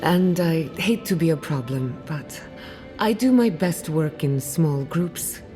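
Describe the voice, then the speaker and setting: A woman playing a confident, dryly witty scholar in a video game, measured and guarded. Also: low voice, warm tone. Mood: reflective, wry. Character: driven, wry female scholar, guarded about family loss. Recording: music playing behind the voice.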